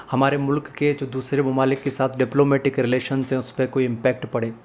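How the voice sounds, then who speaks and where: A man's voice: mid-range voice, darkish tone, slight background noise. A man with a Pakistani accent giving a methodical explanatory lecture, mixing in English legal terms and setting out points one by one. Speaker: law lecturer.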